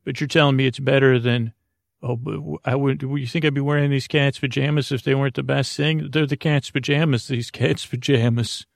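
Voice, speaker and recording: A man hosting a podcast, talking in a halting, meandering way in a rambling, comedic bedtime monologue with a creaky, gravelly voice. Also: mid-range voice, fairly even intonation, no room sound, studio quality. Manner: meandering, halting, absurd tangents told conversationally. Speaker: podcast host.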